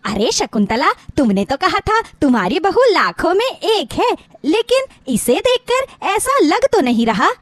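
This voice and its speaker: A woman's voice: very high-pitched, sing-song, measured pace, hardly any pauses, dry recording, pitched above the performer's own voice, heavily acted. A woman voicing mocking middle-aged neighbour gossips in a story, taunting with false sweetness. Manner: taunting, mock-sweet, exclaiming.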